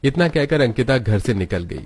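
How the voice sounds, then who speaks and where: A man's voice: medium pitch, animated, normal speed, darkish tone. A man narrating a scripted children's story as the storyteller.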